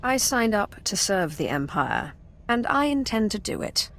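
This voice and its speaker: A woman's voice: mid-range voice, big pitch swings, measured pace, fairly bright tone. A woman playing a cool, ruthless Imperial warrior in a video game, with dry, self-assured, sardonic delivery. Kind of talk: scripted game cutscene dialogue.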